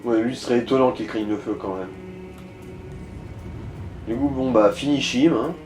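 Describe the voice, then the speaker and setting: A man's voice: mid-range voice, normal speed, darkish tone, faint music behind, low-quality recording. A man giving casual let's play commentary as he guides the player, in slangy, crude language full of swearing. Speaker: gaming co-commentator guiding the player.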